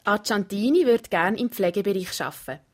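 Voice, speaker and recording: Female reporter, young adult, Swiss German accent, scripted narration at a natural mid pitch. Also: lively intonation, fairly quick. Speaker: student reporter.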